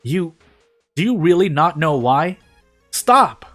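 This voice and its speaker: A man's voice: fairly high pitch, big pitch swings, slow, fairly bright tone, soft background music, pitched above the performer's own voice, exaggerated voice. A man voicing a bitter, wounded young witch girl in a storybook reading, accusing and impassioned. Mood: anguished.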